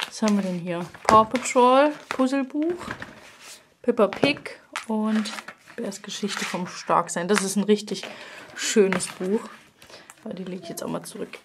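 A woman's voice: mid-range voice, measured pace, noisy background. A woman in her thirties chatting casually in a daily family vlog, recorded at home on a camera at arm's length. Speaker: family vlogger, mother of three. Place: furnished rooms at home, indoors. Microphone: handheld or propped camera at arm's length.

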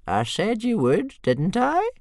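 A man voicing a smug, rambling old male soothsayer with a wheezy, high-pitched voice and grand, slow pronouncements. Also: wildly swooping pitch, creaky, darkish tone, no echo, studio quality, heavily acted.

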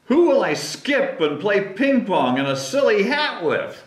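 A man's voice: high-pitched, big pitch swings, unhurried, pitched above the performer's own voice. A man voicing an anxious, clingy, dramatic elephant from a picture book, pleading and wailing repetitively. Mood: panicked pleading. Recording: roomy sound with background noise.

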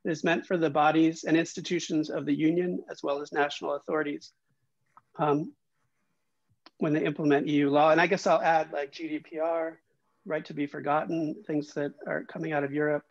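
A man's voice: a little high, little pitch movement, a little fast, vocal fry, no room sound. A man moderating an online talk, reading a question out with hesitant fillers. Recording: dry, muffled call audio.

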